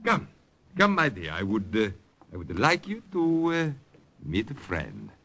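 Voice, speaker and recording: A genial older Italian nobleman with a thick Italian accent, warmly hospitable and rambling. His pitch rises and falls freely, and he pauses on fillers. It is a 1940s radio drama. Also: wildly swooping pitch, full character voice. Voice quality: expansive, hesitant with fillers, drops into Italian. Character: jovial older Italian count, hospitable host.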